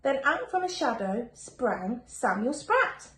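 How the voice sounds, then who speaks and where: Female storybook reader, higher-pitched, sing-song and expressive, teacherly delivery for young children, recorded in a roomy space. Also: slow pace. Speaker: primary school teacher reading aloud. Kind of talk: expressive children's picture-book reading. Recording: echoey room with faint background noise.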